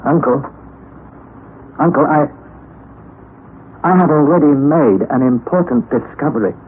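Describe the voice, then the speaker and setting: An actor playing an earnest, skeptical young nephew in a vintage radio drama, expressive and formal in diction. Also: male voice, on the high side, unhurried, slightly rough. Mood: urgent. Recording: tinny, noisy old radio recording.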